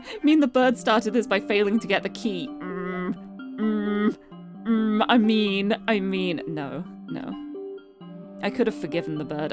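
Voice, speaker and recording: A woman streamer reading visual novel narration aloud, expressively and dramatically, with casual asides to viewers. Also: medium pitch. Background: music.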